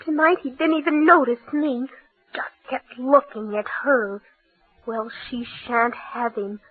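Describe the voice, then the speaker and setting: A woman's voice: on the high side, fairly even intonation, measured pace, breathy edge, dry recording, soft background music. A woman playing a possessive young daughter who feigns sweet innocence, in old-time radio drama style. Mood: brooding, possessive. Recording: tinny old radio recording.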